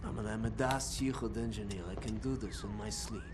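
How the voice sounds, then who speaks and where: An actor playing a secretive older engineer of a mystical society, speaking earnestly and often in a whisper. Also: male voice, lowish voice, fairly even intonation, noisy background, natural voice. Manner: earnest, hurried instructions. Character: secretive old male inventor, urgent, mystical. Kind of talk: video game cutscene acting. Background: loud game score.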